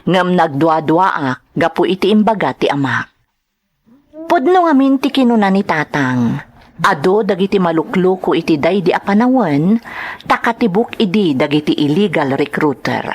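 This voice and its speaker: Casting: actress as confiding wife narrator, reflective, Ilocano accent, lively intonation, radio drama. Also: female voice, on the low side, moderate pace. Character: Lorica narrating her past, reflective troubled wife. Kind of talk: dramatized first-person letter narration.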